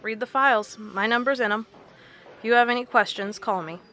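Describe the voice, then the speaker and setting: An actress playing a blunt, stubborn researcher in an audio drama. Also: female voice, fairly high pitch, fairly quick, pushed and bright, a little hiss or hum. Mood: firm, defiant. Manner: blunt, firm. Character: blunt, stubborn woman researcher, fed up and resolute.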